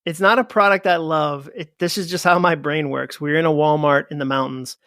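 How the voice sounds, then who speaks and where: A man in his forties from New England, a podcast guest telling rambling, animated stories with lots of fillers. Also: fairly high pitch, brisk, dry recording, clean studio sound. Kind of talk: casual conversation.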